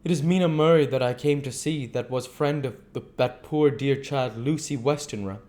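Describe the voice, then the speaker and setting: A man's voice: mid-range voice, moderate pace, a little mellow, pitched above the performer's own voice, close to an everyday voice. A man voicing a courtly, effusively polite older foreign doctor in an audiobook, with earnest, stilted phrasing.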